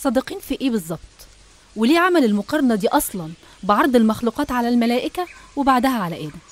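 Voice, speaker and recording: A woman's voice: a little high, expressive, racing. A woman with an Egyptian Arabic accent telling religious stories in a conversational, scripted style.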